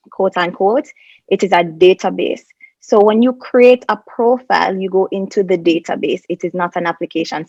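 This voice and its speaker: A woman's voice: mid-range voice, no room sound. A middle-aged woman explains things methodically, step by step, as a panel guest giving advice on a video call. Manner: methodical, step-by-step, emphatic enumerating. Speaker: immigration consultant, panel guest. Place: small indoor room on a video call. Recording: slightly dull video-call audio.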